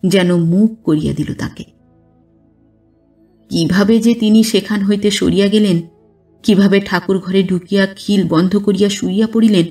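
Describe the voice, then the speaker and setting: A woman reading a classic literary story as an audio narrator, in a measured, expressive storytelling manner. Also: medium pitch, distant mic. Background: background music.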